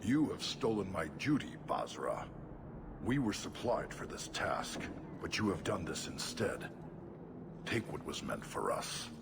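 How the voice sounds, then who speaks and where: Gravelly, stern horned warrior giant. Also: male voice, low-pitched, wildly swooping pitch, a little slow, full character voice. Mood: grim, accusing. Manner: terse, formal, accusing. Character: menacing huge horned warrior, stern and duty-bound. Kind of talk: fantasy video game acting. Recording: clearly noisy game audio.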